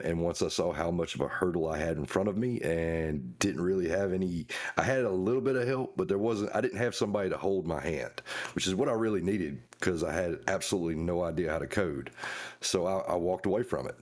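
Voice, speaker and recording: A man's voice: deep voice, a little fast. A man chatting casually on a panel as a guest creator, folksy, effusive and emphatic.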